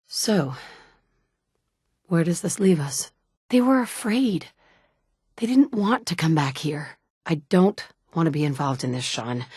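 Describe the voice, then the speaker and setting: A woman's voice: deep voice, a little mellow, breathy edge, no echo, studio quality, heavily acted. A blunt wasteland mother. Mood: somber. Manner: blunt, sardonic, clipped one-liners. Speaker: player character. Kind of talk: video game dialogue lines. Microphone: studio mic.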